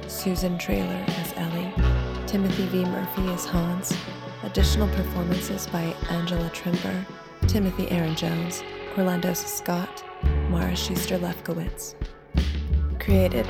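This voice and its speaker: A woman reading scripted credits as an announcer, in a measured, matter-of-fact manner. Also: on the low side, vocal fry. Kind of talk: scripted credits and sponsor read. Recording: loud music bed under voice. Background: music.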